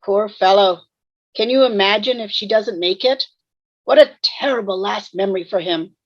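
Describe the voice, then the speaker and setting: A woman's voice: mid-range voice, moderate pace, lo-fi sound, natural voice. A woman in an amateur table read playing a sassy but sympathetic female ghost. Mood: pitying. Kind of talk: amateur table read acting over video call.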